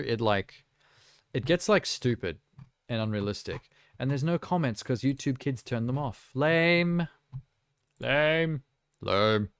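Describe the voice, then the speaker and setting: A man's voice: mid-range voice, clean tone, no room sound, clean studio sound. A man from South Africa giving casual, off-the-cuff reactions as a live streamer, with wry, mocking asides.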